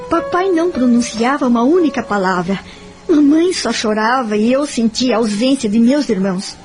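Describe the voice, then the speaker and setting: A woman's voice: a little high, lightly acted, low-quality recording. A woman with a Brazilian accent acting a wounded young woman who confides her past in an audio novel. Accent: Brazilian Portuguese. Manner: sorrowful, confiding.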